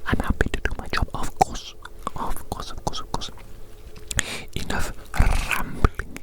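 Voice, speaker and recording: A woman whispering in a breathy, unvoiced murmur for an ASMR roleplay, playing a fussy, eccentric doctor who repeats phrases. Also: a little slow. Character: fussy, eccentric scientist-doctor measuring a little patient.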